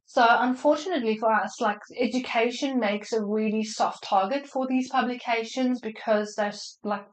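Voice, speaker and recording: Woman, under the weather with a flu, loose chatty advice over a video call, mid-pitched. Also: rough recording. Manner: chatty, loose, lots of fillers and restarts. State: slightly unwell with a flu. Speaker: marketing expert answering audience questions. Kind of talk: casual live Q&A on a call.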